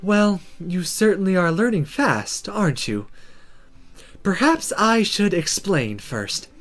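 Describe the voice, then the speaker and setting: A performer voicing a calm, polite, unruffled female magic teacher from a visual novel. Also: mid-range voice, takes it slowly, soft background music. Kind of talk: visual novel read-aloud character voice.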